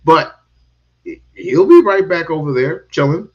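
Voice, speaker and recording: A man's voice: a little high, expressive, measured pace, highs cut off. A man hosting a casual online talk show, talking profanely and laughing often. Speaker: show host.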